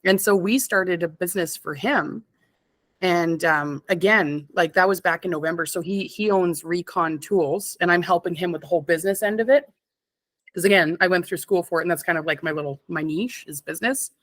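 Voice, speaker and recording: A woman's voice: on the low side, a little fast, fairly bright tone, dry recording. A woman in her thirties from Canada, chatting casually as an interview guest, rambling and laughing often. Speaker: interview guest, stone fabricator. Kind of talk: casual chat.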